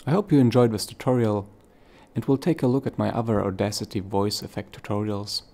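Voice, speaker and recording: A man hosting a software tutorial, giving plain step-by-step instructions. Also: lowish voice, fairly even intonation, a little fast, noisy background. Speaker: tutorial host.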